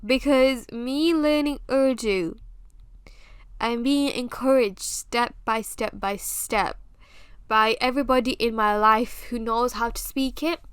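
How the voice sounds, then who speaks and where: A woman's voice: a little high, takes it slowly, fairly bright tone, clean tone, no room sound. A young British woman with a British accent, hosting a podcast and talking candidly, with self-mocking humor, in a casual monologue. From England. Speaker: podcast host.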